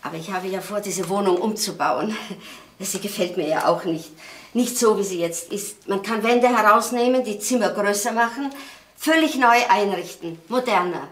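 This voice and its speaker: A woman's voice: mid-range voice, moderate pace, a bit bright, echoey room, lightly acted. An actress playing an earnest, wistful widowed mother of grown sons, hesitant and imploring, in a naturalistic crime drama. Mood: earnest, hopeful.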